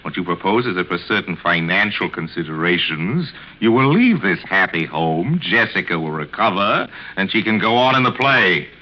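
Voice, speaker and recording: A man's voice: low voice, expressive, moderate pace, hardly any pauses. An actor in 1940s radio style playing a flippant, pompous theater man, theatrical and florid in his drawling sarcasm. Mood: sardonic. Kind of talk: 1940s radio acting and narration. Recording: muffled old radio broadcast recording.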